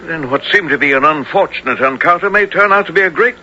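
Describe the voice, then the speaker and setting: Bright, forceful commanding captain. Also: male voice, a little high, lively intonation, quick pace. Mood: courteous, resolute. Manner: declamatory, archaic formal diction. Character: bold, commanding English captain of men-at-arms, hearty. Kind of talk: 1970s radio drama acting. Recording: dull, faintly noisy old radio recording.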